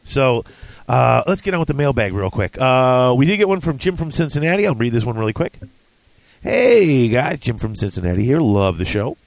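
A man's voice: medium pitch, big pitch swings, normal speed, creaky, no room sound. Casting note: congested male podcast host, chatty, dry close sound. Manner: chatty, self-deprecating, with fillers and restarts. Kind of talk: casual podcast chat.

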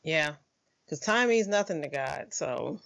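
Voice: medium pitch, lively intonation, measured pace, vocal fry, fairly bright tone. A podcast host interviewing a guest in an effusive, supportive, chatty way. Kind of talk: conversational interview.

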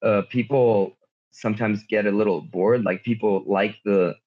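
A man from a game company chatting casually, relaxed and thoughtful. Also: fairly low pitch, moderate pace. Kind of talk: casual chat. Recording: dull lo-fi remote audio.

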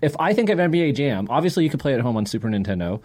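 A man's voice: medium pitch, sing-song, rapid-fire, a little mellow. A man co-hosting a podcast, explaining his view informally in casual chat with friends. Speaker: podcast co-host.

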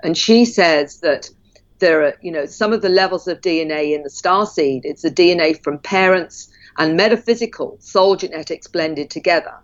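Low-voiced woman guest on a muffled line. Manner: measured, explaining with frequent ums. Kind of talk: explanatory interview talk.

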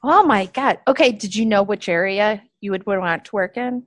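A woman's voice: mid-range voice, moderate pace, fairly bright tone, no echo. A woman hosting a podcast, interviewing in a casual, gushing and informal way.

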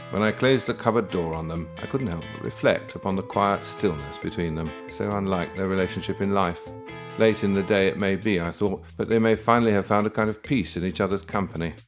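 A man reading his own first-person story aloud in a dry, wry storytelling manner. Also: deep voice. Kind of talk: scripted first-person narration. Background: guitar music.

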